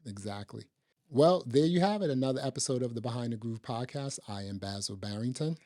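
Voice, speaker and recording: A man's voice: medium pitch, brisk, mellow, no echo, clean studio sound. A man hosting a podcast, chatting casually about movies in a conversational way peppered with little asides. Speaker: podcast host. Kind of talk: casual movie review chat.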